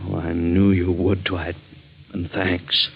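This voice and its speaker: An actor playing an anxious middle-aged father haunted by doom, halting and earnest, in 1940s radio drama style. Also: sits low, level tone, creaky, loud music behind the voice, heavily acted. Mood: haunted, worried. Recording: dull vintage 1940s radio recording.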